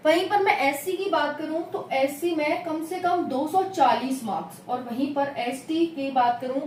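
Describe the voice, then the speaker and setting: High-voiced Hindi coaching teacher, echoey room. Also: female voice, a little slow, a little mellow, slight background noise. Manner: repeats checks like "clear?" and addresses viewers as companions. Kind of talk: explaining exam rules at a whiteboard. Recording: echoey room, recorded from a distance.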